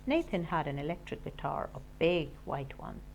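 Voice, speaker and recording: A woman's voice: sits low, big pitch swings, moderate pace, darkish tone, slight background noise. A woman reading a children's story aloud expressively, like a class teacher.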